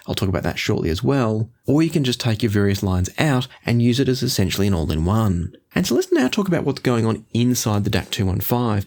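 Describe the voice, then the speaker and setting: A man hosting an audio gear review, explaining things in a measured, orderly way. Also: mid-range voice, darkish tone. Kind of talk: product review to camera.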